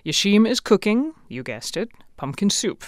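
A woman reporter reads a scripted radio feature, crisp and wry. Her voice sits at a middle pitch, recorded close and dry. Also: sing-song, normal speed, no echo.

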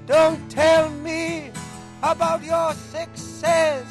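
Female singer, high and unhurried, acoustic guitar backing. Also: little pitch movement. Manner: sung slowly over guitar. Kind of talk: singing a slow song.

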